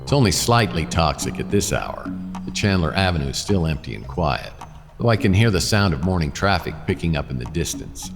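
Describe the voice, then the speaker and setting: Very deep-voiced, deadpan American noir detective. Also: male voice, a little fast, over music. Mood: wry narration. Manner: dry, sardonic, deadpan. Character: wry, world-weary male private detective. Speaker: narrator and lead actor. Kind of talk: noir radio drama, first-person narration and dialogue.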